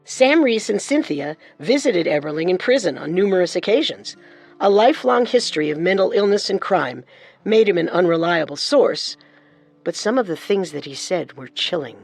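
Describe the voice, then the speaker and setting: A woman co-hosts a scripted true-crime story as narrator. Her pitch is a little low but swings widely, with music faintly underneath. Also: animated, normal speed, gravelly, darkish tone. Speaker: narrator, co-host.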